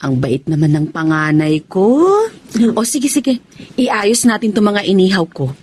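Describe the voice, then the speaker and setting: An actress in a radio drama playing a warm, doting mother with an affectionate, soothing manner. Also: mid-range voice, animated, a little slow, slight background noise, lightly acted. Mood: loving. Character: warm, tender, doting mother of two boys. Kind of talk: Tagalog radio drama acting.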